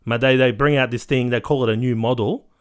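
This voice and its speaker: A man putting on a swaggering self-obsessed ladies' man, brash and pushy, throwing in "mate" constantly, talking at an ordinary middle pitch. He boasts loudly and talks over the other man. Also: steady tone, fast, clear, resonant tone, close to an everyday voice. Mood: boastful. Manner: brash, cuts in, says "mate" nearly every line. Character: cocky vain male ladies' man, boastful. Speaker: comedic character guest.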